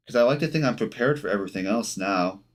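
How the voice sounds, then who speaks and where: A man doing casual live game commentary, thinking aloud in a halting, self-correcting way as he talks to his chat. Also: on the low side, animated. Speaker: game streamer. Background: game music.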